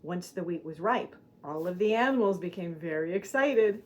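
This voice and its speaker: A woman's voice: on the low side, animated, normal speed. A woman storyteller narrating a scripted children's tale for a puppet show.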